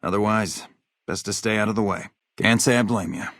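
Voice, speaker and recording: A blunt, low-voiced army officer. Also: male voice, a little fast, a bit bright, no echo, clean studio sound. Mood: grim, warning. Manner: blunt, profane, matter-of-fact. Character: hard-bitten male military officer paying bounties, blunt, vengeful. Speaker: game character, army officer. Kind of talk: video game dialogue.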